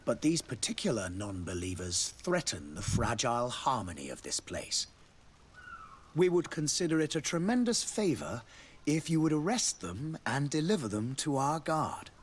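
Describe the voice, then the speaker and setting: Husky, courtly fantasy ambassador, animated pitch. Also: male voice, moderate pace. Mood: confiding, grave. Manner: formal, florid, diplomatic. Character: courtly, devout male ambassador of a theocratic nation. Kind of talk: scripted fantasy video game dialogue.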